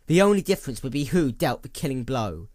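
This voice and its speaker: A man's voice: mid-range voice, big pitch swings, brisk, darkish tone, dry recording, clean studio sound, lightly acted. A man voicing an embittered, hollowed-out female pony in a dramatic story, brooding and intense. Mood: anguished, intense.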